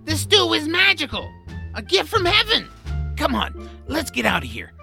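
A woman voicing a cranky, eccentric male troll cook in a family audio drama, with a gravelly voice and an excitable, grumbling, theatrical manner. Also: high-pitched, moderate pace, faint music behind, heavily acted. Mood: smitten, defensive. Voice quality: gravelly, creaky.

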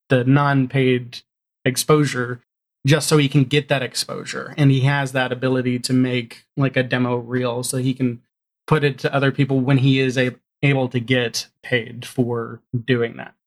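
A man in a relaxed, informal interview, speaking at a middling pitch. He tells things like a storyteller, slipping into quoted exchanges as he explains his work as a showrunner. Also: measured pace. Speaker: guest, audio drama showrunner. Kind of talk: casual interview chat.

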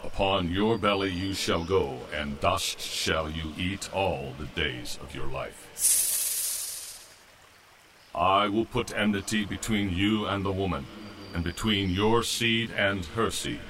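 Man as commanding deity, deep bass, measured and slow, stern, Bible audio drama. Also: fairly bright tone, slight background noise. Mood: stern, judging. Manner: measured, solemn, authoritative. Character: God, stern, solemn, commanding male deity.

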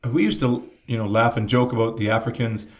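A man's voice: medium pitch, fairly quick. A man from Canada, chatting casually and telling stories with emphatic energy. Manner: animated storyteller, emphatic. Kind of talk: casual chat.

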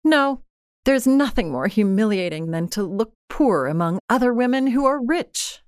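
Woman playing a young wife, storybook character, high voice, plaintive, discontented, emotional, dry sound. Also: animated, normal speed, clear, resonant tone, no room sound, lightly acted. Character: vain, discontented young French wife, anxious and yearning.

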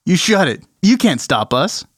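A man's voice: sits high, very animated, unhurried, breathy edge, no room sound, pitched above the performer's own voice, heavily acted. A bullying ninja gang leader, voiced by a man, spitting taunts and threats in a raised, pushy voice. The sound is dry and close, from a home tabletop session. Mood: menacing.

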